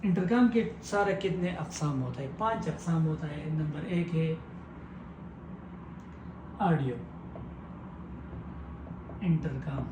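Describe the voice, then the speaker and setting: A man giving a step-by-step technical tutorial, explaining simply and repetitively in halting phrases. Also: a little high. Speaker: technical instructor. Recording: echoey room with clear background noise.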